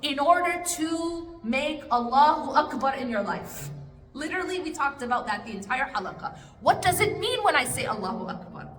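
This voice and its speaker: Woman teaching a study circle, bright slightly high voice, lots of rhetorical questions, roomy hall echo. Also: sing-song, moderate pace, soft background music. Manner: conversational, rhetorical questions, coaxing. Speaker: speaker at an Islamic study circle. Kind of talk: lecture answering an audience question. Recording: echoey hall with faint noise.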